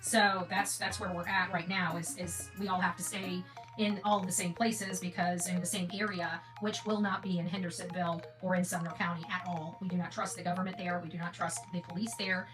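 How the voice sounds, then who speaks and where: A woman's voice: lowish voice, level tone, brisk. An American woman hosting an online channel, talking casually to viewers in a rambling, emphatic way that repeats phrases. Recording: distant mic, music behind.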